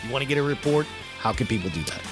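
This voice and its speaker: A man's voice: medium pitch, expressive, very fast, over music. A man hosting a podcast with casual, punchy sports talk full of rhetorical questions. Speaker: podcast host.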